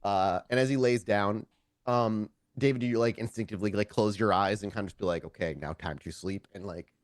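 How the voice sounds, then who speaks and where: A man's voice: on the low side, fairly even intonation, brisk, no room sound, studio quality. A man narrating a tabletop roleplaying game as storyteller, improvising descriptive scenes and rephrasing as he goes.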